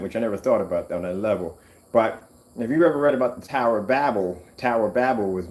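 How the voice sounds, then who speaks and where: A middle-aged man chatting casually while working in a workshop, wandering off topic with animated bursts. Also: on the low side. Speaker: screen paint maker.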